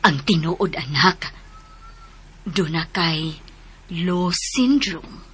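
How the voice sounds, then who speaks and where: A woman's voice: fairly low pitch, extremely slow, a bit bright, slightly rough, full character voice. An actress in a melodramatic radio drama playing a protective, emotional mother. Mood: gentle, hesitant.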